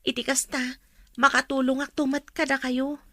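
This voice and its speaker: A woman's voice: high voice, little pitch movement, moderate pace, a bit bright, dry recording. An actress playing an earnest, polite young woman student in a radio drama, speaking with a Filipino accent. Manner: polite, deferential. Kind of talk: Ilocano radio drama acting.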